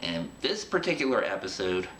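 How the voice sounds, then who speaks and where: A man's voice: sits low, very animated, normal speed, fairly bright tone. A man hosting an interview show, talking in a chatty, informal way. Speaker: host. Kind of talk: podcast interview and show introduction. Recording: roomy echo with clear background noise.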